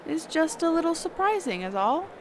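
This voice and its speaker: A woman playing a sheltered, curious mage teacher in a conversational roleplay audio drama, halting and often correcting herself. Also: extremely high pitch, sing-song, a little hiss or hum, full character voice. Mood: surprised, curious. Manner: halting, hesitant, trailing off and self-correcting.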